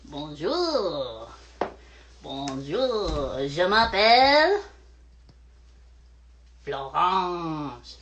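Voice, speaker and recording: Lady doing a vain furry french guy puppet, really slow n swoopy, preening, french accent, kids show. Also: low-pitched, very animated, a bit bright, a little hiss or hum, heavily acted. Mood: preening, theatrical. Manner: theatrical, drawn-out, preening. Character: vain, theatrical French invisible furry friend, male.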